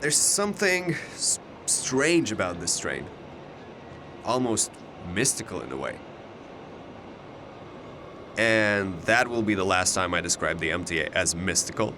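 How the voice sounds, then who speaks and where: A man's voice: low-pitched, sing-song, measured pace, long pauses, noisy background. A man playing a cocky, chatty young double who knows it all, with a glib, teasing way of talking in an audio drama. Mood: chatty, wry. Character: cocky, chatty, all-knowing alternate-universe male double of a student.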